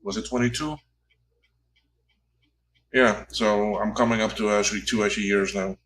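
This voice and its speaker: A man's voice: on the low side, fairly even intonation, normal speed, fairly bright tone. A man in his fifties chatting casually on a livestream while repairing old computers, rambling and correcting himself as he works. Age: 50s. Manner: rambling, self-correcting, talks while tinkering.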